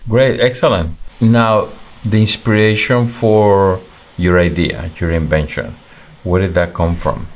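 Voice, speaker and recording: A man's voice: low-pitched, fairly even intonation, measured pace. A man interviewing a student team, often rephrasing his questions as he goes.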